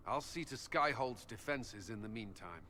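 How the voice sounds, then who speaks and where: An actor playing a sober, dutiful military commander in a fantasy game, formal and matter-of-fact. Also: male voice, medium pitch, expressive, moderate pace, pushed and bright.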